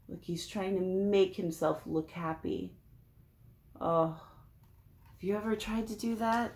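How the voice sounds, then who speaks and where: A woman reading a picture book aloud to children in an expressive way, chatting to young listeners as she goes. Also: sits low. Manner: expressive, addressing young listeners with simple questions. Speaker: children's storybook reader and host.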